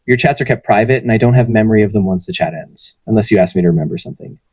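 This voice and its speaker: Fast, husky synthetic male assistant voice. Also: on the low side, no echo, low-quality recording. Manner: friendly, even, polished delivery. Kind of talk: conversational answers to interview questions.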